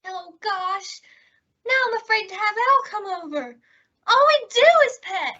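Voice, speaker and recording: A woman's voice: extremely high pitch, very animated, unhurried, exaggerated voice. A woman playing a ditzy, naive, sweet young woman with guileless, earnest delivery in an old-time radio comedy. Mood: worried. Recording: dull lo-fi audio, loud noise.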